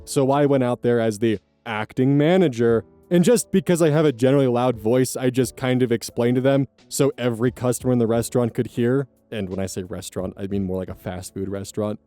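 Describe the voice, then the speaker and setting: A man reading online stories aloud as host, adding blunt, profane commentary in a casual, animated way. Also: mid-range voice, brisk, hardly any pauses, faint music behind.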